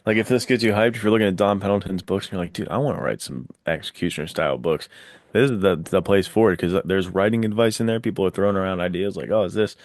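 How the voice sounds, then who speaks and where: A man's voice: low voice, fast talker, no echo, clean studio sound. A man co-hosting a podcast, chatting in a casual, informal, slangy way. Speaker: podcast co-host.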